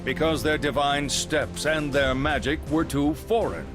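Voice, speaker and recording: A man voicing an erudite, composed scientist in a video game, explaining things in a formal, measured, lecturing way. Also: on the high side, steady tone, lightly acted. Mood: measured, instructive. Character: calm, erudite male scientist lecturing on grave theories. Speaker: character in a video game story scene. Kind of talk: dramatic game voice acting, expository lecturing. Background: music.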